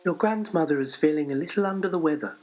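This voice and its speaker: A man voicing a kind, caring mother gently instructing her child in a storybook. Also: a little high, quick pace, soft background music, pitched above the performer's own voice, lightly acted. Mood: kindly. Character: kindly, caring mother of a little girl.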